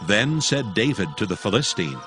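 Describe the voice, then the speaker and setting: A man's voice: fairly low pitch, sing-song, normal speed, fairly bright tone, soft background music. A man narrating a dramatized scripture recording as a solemn, scripted storyteller.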